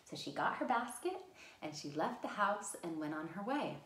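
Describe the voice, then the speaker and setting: A woman's voice: on the low side, very animated, moderate pace, a bit bright, reverberant, poor audio. A woman hosting a children's storytime, speaking simply and encouragingly as if to young children. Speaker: children's library storytime host.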